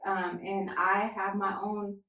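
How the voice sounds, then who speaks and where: A woman co-hosting a podcast as a family therapist, telling stories in a lively way and laughing often. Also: mid-range voice, slow, lo-fi sound. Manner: animated storyteller, laughs often. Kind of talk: casual podcast chat. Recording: echoey room.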